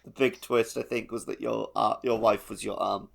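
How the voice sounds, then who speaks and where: Male podcast co-host, fairly low voice, conversational and informal, discussing a game. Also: flat delivery, normal speed, creaky, background noise. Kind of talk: casual podcast chat about a video game.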